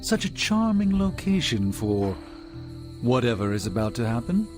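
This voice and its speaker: A man's voice: mid-range voice, sing-song, normal speed, lightly acted. A man voicing a haughty, eloquent sorcerer in a video game, pompous and arch. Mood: wry. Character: haughty mystical sorcerer, eloquent, wry, condescending. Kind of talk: video game cutscene acting. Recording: music clearly behind, night insects.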